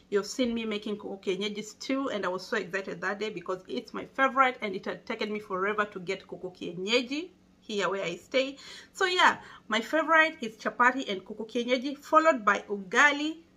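A woman's voice: on the high side, bright, forceful tone. A young Kenyan woman with a Kenyan accent, talking casually to camera in a small indoor room and patiently explaining things. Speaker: vlogger.

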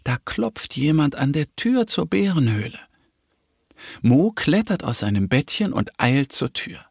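A man narrating a scripted children's story in a careful, expressive way. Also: fairly high pitch, measured pace, vocal fry, husky, no room sound, studio quality.

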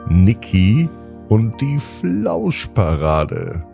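A man's voice: on the low side, huge pitch swings, very slow, creaky, dark tone, faint music behind. A man narrating a children's bedtime story in a soothing manner, drawing out words for emphasis.

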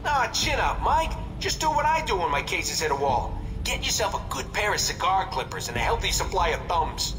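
An actor playing a gleefully violent, eccentric mercenary in a video game, flippant and theatrical with unhinged cheer. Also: male voice, high-pitched, sing-song, moderate pace, hardly any pauses, recorded from a distance, over music, poor audio. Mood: manic, chummy. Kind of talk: video game cutscene acting.

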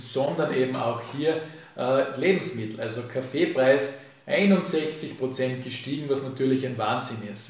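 Man in an echoey room. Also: medium pitch. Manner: relaxed, frequent fillers and "eben", hand gestures. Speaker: finance YouTuber. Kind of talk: casual explainer to camera. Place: home office with bookshelves, indoors. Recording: echoey room, distant mic.

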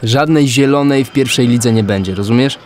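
An actor playing a coarse, grumbling police detective with a gambling streak in a radio crime drama, slangy and sweary. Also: male voice, medium pitch, normal speed, darkish tone, lightly acted.

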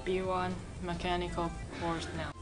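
Flat-voiced woman student from Taiwan. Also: on the low side, recorded from a distance. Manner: simple halting English. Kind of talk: interview answers to camera. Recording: roomy room sound over background music.

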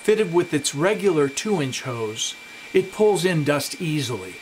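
Steady man explaining in a workshop. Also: a little high, a little slow, a little hiss or hum. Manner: steady, explanatory, gestures while talking. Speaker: woodworking instructor. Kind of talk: scripted instructional explanation. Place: small home workshop, indoors.

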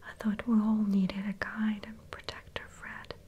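A woman voicing a gentle, pleading widowed mother in a soft whisper, reading a storybook aloud. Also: mid-range voice, completely flat delivery, measured pace, highs cut off, slight background noise, lightly acted. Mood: tender.

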